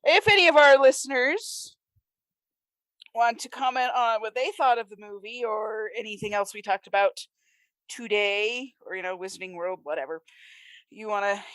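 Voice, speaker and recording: A woman's voice: a little high, normal speed, a bit bright, dry recording, rough recording. An American woman co-hosting a podcast in casual chat, with folksy turns of phrase. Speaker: podcast co-host.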